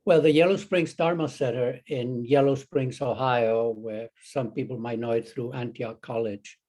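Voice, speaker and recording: Older Chilean-accented man, Zen teacher guest; unhurried, rambling answers full of restarts. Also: mid-range voice, fairly even intonation, darkish tone. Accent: Chilean Spanish. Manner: rambling, halting, many restarts and fillers. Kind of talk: casual interview conversation.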